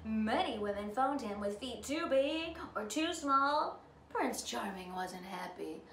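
A woman's voice: on the high side, expressive, slow pace, a bit bright, soft background music. A woman in her thirties reading a children's story aloud in a theatrical, emphatic way. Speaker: storyteller, show host. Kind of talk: dramatic children's read-aloud. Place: living room by a fireplace, indoors. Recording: roomy, distant mic, faint noise.

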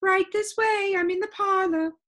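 A woman voicing a gentle, talkative, dreamy lady who adores silence, in a soft singsong storybook voice. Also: very high-pitched, fairly even intonation, a little slow, pitched above the performer's own voice. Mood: welcoming. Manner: wistful, genteel, chatty.

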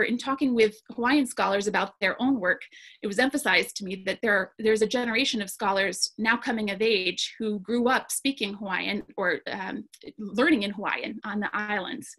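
A woman's voice: medium pitch, fairly bright tone. Earnest woman presenting at a conference. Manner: earnest, with frequent fillers and restarts. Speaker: conference panelist. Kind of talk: presenting slides at an online conference.

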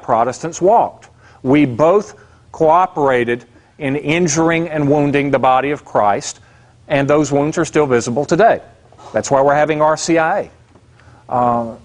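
A man giving a casual classroom lecture as an adult religion class instructor, plainspoken and conversational. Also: on the high side. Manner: conversational, plainspoken, many fillers and asides. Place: church classroom.

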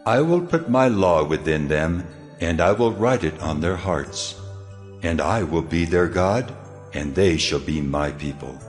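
An actor playing God, solemnly declaring promises in a measured dramatized scripture reading. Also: male voice, low voice, animated. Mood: solemn, assuring. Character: God speaking, solemn male divine voice of promise. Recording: long cavernous reverb, music behind.

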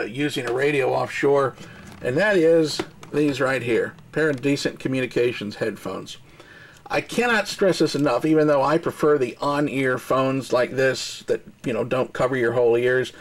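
An older man on a docked boat, casually walking through his radio gear in a relaxed, rambling way with many fillers, recorded on a handheld camera mic. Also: mid-range voice. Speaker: sailor showing his boat's radio gear. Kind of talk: casual hands-on demonstration. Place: boat cabin at a dock.

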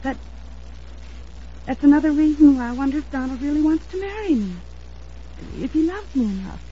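A woman's voice: high-pitched, animated, a little fast, dark tone. An actress playing an anxious, insistent young woman in an old-time radio drama, speaking in an earnest, emphatic, dramatic manner. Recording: dull lo-fi vintage radio recording.

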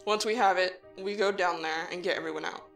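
A woman playing an earnest, cautious human child in a fan audio drama, measured, serious and matter-of-fact. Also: fairly low pitch, fairly even intonation, fairly bright tone, faint music behind, rough recording. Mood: planning, serious.

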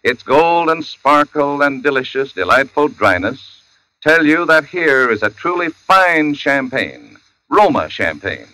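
A man delivering a polished, emphatic commercial pitch in 1940s radio announcer style. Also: very animated, a little slow, pushed and bright, low-quality recording.

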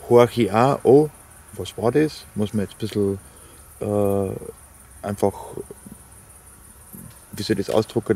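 A lowish male voice, talking loosely and rambling with lots of fillers. He sits outdoors at a forest camp at night, close to the camera mic. Faint crickets and insects sound behind him. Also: warm tone, slight background noise. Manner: rambling, talks to his dog, fillers. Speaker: outdoor vlogger. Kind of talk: casual talk to camera.

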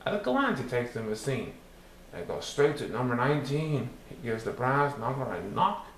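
A man's voice: medium pitch, big pitch swings, normal speed, fairly bright tone. A man reading a children's picture book aloud in verse, with a theatrical, rhythmic, sing-song delivery. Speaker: storybook reader. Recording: roomy echo with some background noise.

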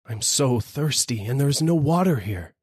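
A man's voice: medium pitch, animated, a little slow, darkish tone, breathy edge, no room sound, clean studio sound, voice pushed up. A man voicing a sly, hungry male tiger who coaxes and pleads in a storybook reading. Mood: wheedling. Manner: coaxing, pleading.

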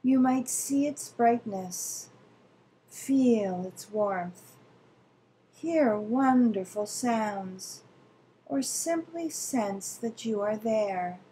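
A woman leading a guided visualization meditation in a soothing, gentle, deliberate manner with long pauses. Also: on the high side, lively intonation, slight background noise, poor audio.

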